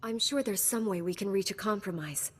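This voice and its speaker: A woman's voice: medium pitch, a little fast, breathy edge, natural voice. Woman as a confident Jedi heroine, reverberant. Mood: resolute. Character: confident young female Jedi knight, heroic and direct. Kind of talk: video game cutscene dialogue.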